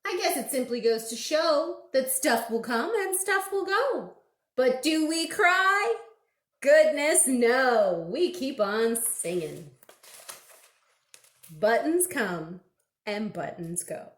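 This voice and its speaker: Female storytime reader, high register, very measured pace, expressive and interactive delivery for small kids, roomy echoing sound. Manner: animated, slow and deliberate questioning with exclamations. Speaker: children's librarian reading picture books at storytime. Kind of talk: interactive read-aloud for young children, with sung refrains.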